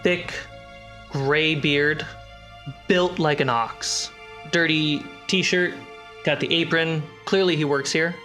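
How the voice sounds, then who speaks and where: A man narrating a tabletop fantasy game as game master, in deliberate, suspenseful short phrases. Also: fairly high pitch, fairly even intonation, sharp tone. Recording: music behind the voice.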